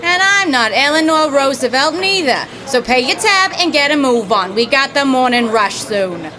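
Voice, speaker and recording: An actress playing a plucky, wry young grad student with breezy, slangy period talk, in old-time radio drama style. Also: female voice, sits high, very animated, moderate pace, crowd noise. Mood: brusque.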